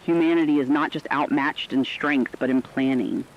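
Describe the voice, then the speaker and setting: A man playing a grave radio correspondent, giving a scripted disaster report in a serious, formal, measured manner. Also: medium pitch, steady tone, dull audio, close to an everyday voice. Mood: grave, ominous. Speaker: news correspondent.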